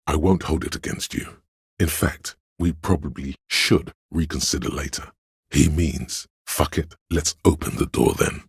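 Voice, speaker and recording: An actor voicing a grave, watchful, wry inner voice of police loyalty in a video game, with a gravelly, husky bass and measured, solemn storytelling. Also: male voice, no room sound, clean studio sound, full character voice. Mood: solemn, dry. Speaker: game narrator. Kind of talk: scripted literary narration.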